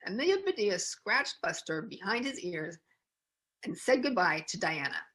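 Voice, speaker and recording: Lady reading a kids storybook out loud, very animated, voice goes up and down a lot. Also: mid-range voice, normal speed, low-quality recording. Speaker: children's storyteller.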